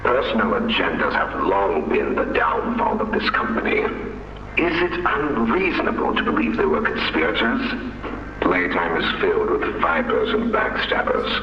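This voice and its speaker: An actor playing a manipulative, smug mad doctor in a video game, coolly mocking and persuasive. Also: male voice, low-pitched, very animated, normal speed, reverberant, dull audio, faint music behind. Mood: coolly amused. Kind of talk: dramatic video game villain acting.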